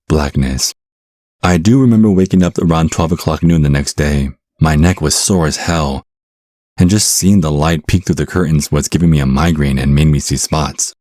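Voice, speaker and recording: Low-voiced young man played by an actor. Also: darkish tone, dry recording, studio quality. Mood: reflective. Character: giddy, rattled young man, newly bitten by a vampire. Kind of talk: audio drama narration and phone dialogue.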